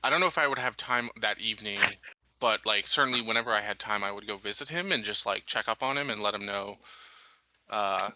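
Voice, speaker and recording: A man playing a tabletop roleplaying game, improvising casually in a hesitant, halting way. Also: lowish voice, very noisy.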